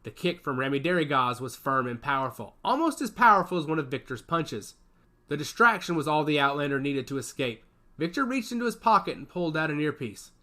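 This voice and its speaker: A man's voice: a little high, very animated, fairly quick, sharp tone. A man reading a science fiction action story aloud as its narrator, with a dramatic storytelling delivery.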